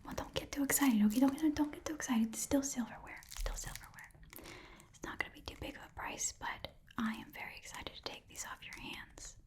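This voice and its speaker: A woman's voice: high-pitched, lightly acted. A woman voicing a chatty, eager goblin pawn-shop keeper in a whispered ASMR fantasy roleplay, rambling and repeating herself. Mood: cautiously excited. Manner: halting, rambling, repeats phrases.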